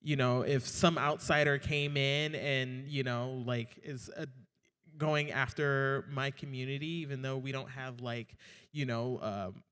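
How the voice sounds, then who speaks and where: Thoughtful man panelist, smooth voice. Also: mid-range voice, steady tone, a little slow, fairly bright tone. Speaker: drag performer on a panel. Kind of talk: panel discussion. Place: university event hall. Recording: reverberant hall.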